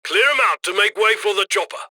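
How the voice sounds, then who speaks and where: A brash, upbeat male mission handler from a video game, barking cocky instructions to a criminal crew. His voice sits high and cuts through with a sharp, very bright edge. The sound is dry and close, with punchy, commanding delivery. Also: brisk, no echo. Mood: urgent, commanding. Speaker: mission handler giving instructions over comms.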